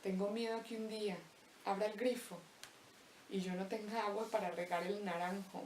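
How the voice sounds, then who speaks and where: A young woman from Colombia with a Paisa accent, slowly reciting a reflective spoken-word poem with deliberate pauses. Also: medium pitch, steady tone, darkish tone, a little hiss or hum. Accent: Paisa Colombian Spanish. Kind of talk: spoken-word poetry monologue. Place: empty abandoned house. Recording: roomy echo, voice distant from mic.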